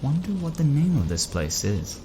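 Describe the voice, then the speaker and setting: An actor playing a curious, troubled driver in an audio drama, conversational, wondering and wary. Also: male voice, fairly high pitch, big pitch swings, moderate pace, dark tone, a little hiss or hum. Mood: puzzled. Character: uneasy, questioning man driver, curious and troubled.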